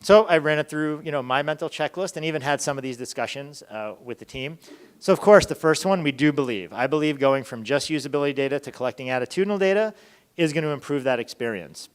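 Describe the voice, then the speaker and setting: A man's voice: on the high side, fast, smooth. A man presenting an informal conference talk as a research lead, conversational, often checking in with rhetorical questions.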